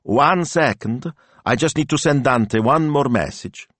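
A man's voice: medium pitch, unhurried, a bit bright, no room sound, voice pushed up. A man voicing a self-absorbed, chatty young woman in an audiobook, pouty, breezy and earnest. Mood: breezy, exasperated.

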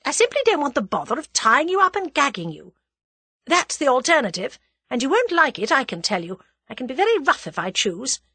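A woman's voice: sits high, very animated, fairly quick, pushed and bright, husky, no room sound, pitched above the performer's own voice, heavily acted. A woman voicing a menacing, imperious villainess with a cold, commanding and scornful manner in an audiobook story. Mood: cold menace.

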